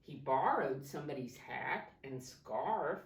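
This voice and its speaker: A woman with a low voice leads storytime for small children. Her delivery is slow and instructive, in simple words with questions and prompts. The recording is roomy, with the microphone some distance away. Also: expressive, vocal fry. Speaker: preschool teacher reading a picture book aloud. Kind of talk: storytime talk to young children.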